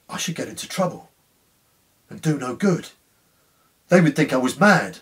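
A man's voice: fairly high pitch, very animated, moderate pace, pitched above the performer's own voice. A man voicing a tormented, fearful railway signalman in a dramatic story reading, earnest and anguished. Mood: frantic. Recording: slightly distant room microphone.

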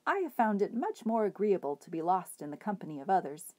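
A woman voicing an enormous bearded male hobo who speaks in a gently formal, unhurried, courtly way. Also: high-pitched, big pitch swings. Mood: genial.

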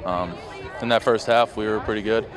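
Deep-voiced young player over crowd noise. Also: male voice, steady tone. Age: young adult. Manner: measured, with filler ums. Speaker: college football player. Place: outdoors on a football field. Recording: crowd chatter outdoors.

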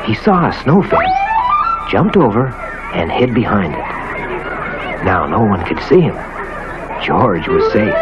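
Animated male storyteller over loud music, lo-fi. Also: mid-range voice. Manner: expressive storytelling, acts out the characters' quotes. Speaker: narrator. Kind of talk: scripted children's story narration. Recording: dull lo-fi old cartoon soundtrack, loud music.

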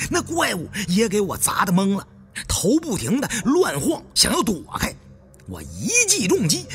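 A man's voice: sits high, a bit bright. A man narrating a first-person adventure audiobook in a theatrical, suspenseful, dramatic style.

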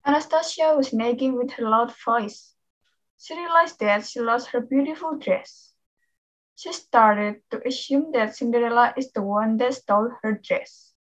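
A woman's voice: a little high, measured pace, dull audio. A young student woman reading a scripted introduction and storybook narration. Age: young adult.

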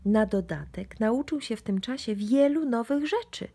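A woman storyteller reading a children's bedtime book. Her delivery is expressive and swoops in pitch, in a breathy, husky voice with some creak at the edges. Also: normal speed. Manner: expressive, addressed to young children.

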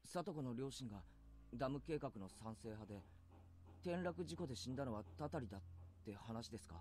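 A man's voice: medium pitch, lively intonation, rapid-fire, darkish tone, a little hiss or hum, close to an everyday voice. An actor playing an earnest, inquisitive teenage boy in a Japanese visual novel, asking questions in a puzzled way. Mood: puzzled, concerned.

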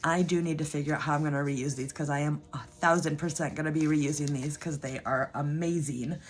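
Chatty low-voiced woman, voice going hoarse. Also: fairly even intonation, fairly quick. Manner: chatty, rambling, frequent ums and restarts. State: losing her voice. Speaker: budgeting vlogger. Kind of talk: casual chat to camera.

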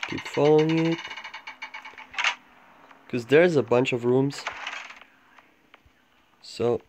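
A man giving low-key, casual gameplay commentary, thinking aloud in short fragments as he guides viewers. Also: slow pace. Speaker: gamer making a walkthrough guide. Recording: clearly noisy home recording with clicks.

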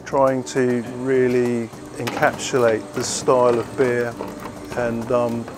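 Brewery man, flat even delivery, measured with fillers, faint hum behind. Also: mid-range voice. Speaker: brewery staff. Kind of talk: interview.

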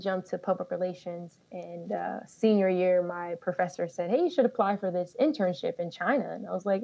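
A woman in her thirties from Colorado, a guest telling her story in a relaxed, self-deprecating way with easy laughs. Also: lowish voice, vocal fry. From Colorado, USA. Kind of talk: casual interview storytelling. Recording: slightly dull lo-fi audio.

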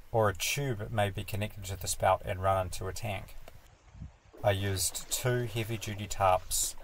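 A man narrating a sailing vlog in a calm, matter-of-fact voice-over, explaining a build step by step. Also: on the low side, slight background noise, rough recording. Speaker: sailing vlogger.

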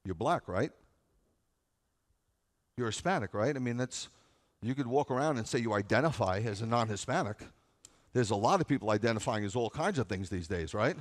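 Animated American male preacher in a hall. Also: mid-range voice, moderate pace, long pauses. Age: middle-aged. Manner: conversational, wry, emphatic with repetition and rhetorical questions. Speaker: rabbi preaching to a congregation. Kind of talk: sermon. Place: large sanctuary, at a pulpit before seated listeners. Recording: reverberant hall sound.

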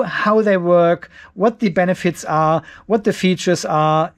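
A man in his fifties giving a casual, step-by-step explainer to camera as a video host, with informal, emphatic phrasing. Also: on the high side. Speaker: host of an off-grid solar and battery channel. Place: cluttered garage workshop.

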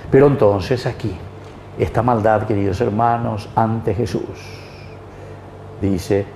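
Mellow Paraguayan priest in a roomy space. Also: male voice, lowish voice, vocal fry. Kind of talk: Gospel reading and homily. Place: small wood-panelled chapel, indoors. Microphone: lapel mic. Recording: roomy echo, faint background noise.

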